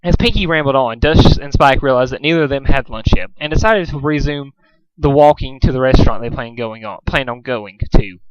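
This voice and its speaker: A man's voice: mid-range voice, lively intonation, a little fast, dry recording. A man reading a story aloud as an amateur narrator, informal and stumbling over words. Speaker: amateur fan fiction reader. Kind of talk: storybook-style narration, read aloud casually. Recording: dull lo-fi home recording.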